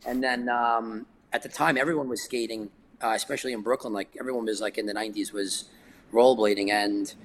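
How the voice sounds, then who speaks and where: A man's voice: on the low side, fairly even intonation, a little fast, slight background noise, low-quality recording. Give me a New guy in his forties chatting loosely on a podcast, stumbling and restarting as he tells stories. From New York, United States. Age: 40s. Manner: rambling, filler-heavy storytelling. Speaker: guest skateboarder. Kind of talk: casual podcast chat.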